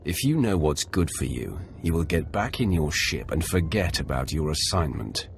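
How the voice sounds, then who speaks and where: Very deep, gravelly Sith warrior. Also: male voice, big pitch swings, moderate pace, slight background noise, heavily acted. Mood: cold threat. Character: menacing, commanding Sith warrior, calm and dry. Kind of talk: video game voice acting.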